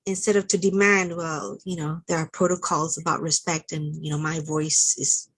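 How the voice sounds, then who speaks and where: A woman from Malaysia, a workshop participant, asking a thoughtful question and pausing with "um" as she searches for words. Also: low-pitched, little pitch movement, fairly bright tone, breathy edge, rough recording.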